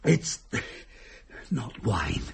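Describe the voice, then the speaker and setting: A man's voice: on the low side, sing-song, drawn-out words, gravelly, husky, heavily acted. A man with an English accent acting an exasperated, anxious middle-class husband and dinner host in a radio horror play, agitated and grumbling. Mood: alarmed, insistent.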